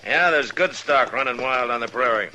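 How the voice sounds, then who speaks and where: A man's voice: mid-range voice, normal speed, sharp tone, low-quality recording. A man playing a stern, principled marshal in an old-time radio western, speaking in a firm, plain-spoken, commanding way. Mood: resigned, steady. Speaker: lead actor in radio western. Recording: old radio recording with noise.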